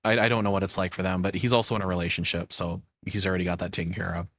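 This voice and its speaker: Low-voiced man giving casual, rambling commentary. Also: flat delivery, racing, a bit bright, no room sound, clean studio sound. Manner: candid, self-deprecating, rambling. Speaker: streamer reacting to a video.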